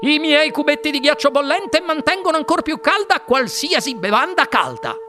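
A storybook narrator voicing an eccentric, excitable, impatient candy-factory owner in a theatrical, exclamatory way. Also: sits high, moderate pace, music in the background, pitched above the performer's own voice. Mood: gleeful, boastful.

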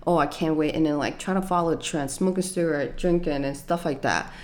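A young adult woman telling her life story in an interview, soft-spoken and hesitant, with frequent fillers. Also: deep voice, fairly quick. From United States.

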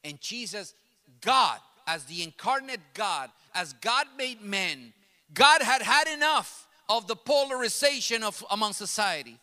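Very high-voiced, bright preaching man. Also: animated, unhurried. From grew up in New York City. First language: Spanish. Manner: emphatic, repeats and restarts phrases, builds to loud passionate peaks. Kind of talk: sermon. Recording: long reverb of a large hall.

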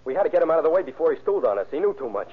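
An American actor playing a sullen, defensive criminal under interrogation in an old radio drama, with slangy, snappish delivery. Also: male voice, fairly high pitch, big pitch swings, racing, husky. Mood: bitter, giving in. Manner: tough slangy talk, snappish and defiant. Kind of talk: 1930s radio drama acting. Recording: muffled, band-limited old radio recording.

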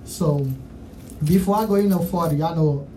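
A man's voice: on the high side, moderate pace, mellow. Man rambling Haitian-accented male interviewer. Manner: rambling, full of "you know what I'm saying". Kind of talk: casual interview chat. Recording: distant mic, faint background noise.

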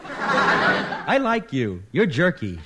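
A man's voice: high voice, huge pitch swings, extremely slow, heavy background noise, full character voice. A man playing a fussy, stubborn, pompous businessman who hems his way through a 1940s radio comedy. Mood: pompous, amused. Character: fussy, eccentric male businessman, a would-be radio sponsor.

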